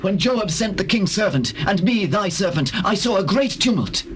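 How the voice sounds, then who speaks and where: A man's voice: high-pitched, lively intonation, moderate pace, fairly bright tone. A man playing an eager, earnest young messenger in formal dramatized scripture. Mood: earnest reporting. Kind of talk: dramatized scripture, stage-classical acting. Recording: noisy old cassette tape.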